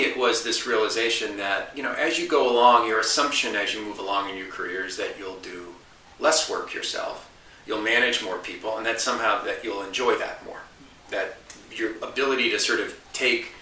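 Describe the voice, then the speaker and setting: A man's voice: fairly low pitch, pushed and bright. A man in his forties giving thoughtful interview answers about his career, restarting phrases and lifting his voice to stress a point. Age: 40s. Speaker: interview guest, marketing consultant. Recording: roomy, distant mic, faint hiss.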